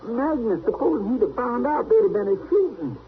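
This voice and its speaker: An actor playing a folksy, anxious frontier sidekick with a rural American drawl in an old-time western radio drama. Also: male voice, extremely high pitch, big pitch swings, fairly quick. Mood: alarmed, pleading. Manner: folksy, flustered, pleading. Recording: muffled, noisy vintage radio recording.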